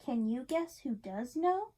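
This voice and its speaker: A woman's voice: high-pitched, expressive, a little slow, mellow. A woman reading a picture book aloud to a child in an interactive way, encouraging and asking questions. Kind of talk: interactive storybook read-aloud.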